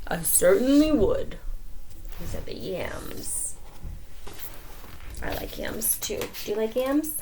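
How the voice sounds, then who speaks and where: Slow, very expressive woman reading a storybook. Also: a little high, noisy background. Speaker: storybook reader. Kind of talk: expressive read-aloud to children.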